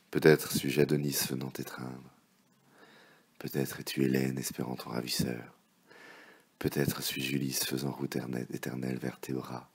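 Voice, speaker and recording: A man with an extremely low, gravelly voice recites love poetry. His delivery is theatrical and impassioned, able to sink into hushed murmurs, and his tone is husky and rough. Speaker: poet reading poems.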